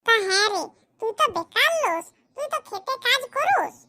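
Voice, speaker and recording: A performer voicing a fussing, affectionate village mother in a comedy cartoon, with a pitched-up voice. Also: sing-song, normal speed, bright, forceful tone, exaggerated voice. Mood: nagging. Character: fussing, affectionate middle-aged village mother, plain-spoken cartoon character.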